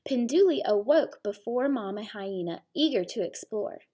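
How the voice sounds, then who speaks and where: A woman teacher reading a storybook aloud to young children, pausing to explain things simply. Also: fairly high pitch, lively intonation, dark tone.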